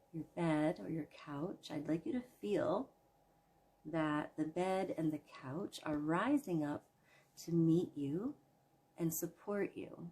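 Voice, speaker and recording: Slow, low-voiced woman guiding relaxation. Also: slightly rough. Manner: soothing, gentle, measured with long pauses. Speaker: guided meditation host. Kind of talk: guided imagery relaxation narration.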